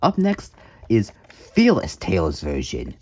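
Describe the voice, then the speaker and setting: A man's voice: low voice, wildly swooping pitch, slow, background noise. A man hosting a music collection video, talking casually through his items in a playful, jokey way with mock emphasis. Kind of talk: casual show-and-tell vlog commentary.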